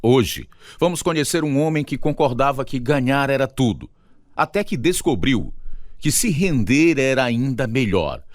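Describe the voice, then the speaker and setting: A man's voice: mid-range voice, lively intonation, normal speed, no echo, studio quality. A Brazilian man narrating and announcing a radio drama from a script.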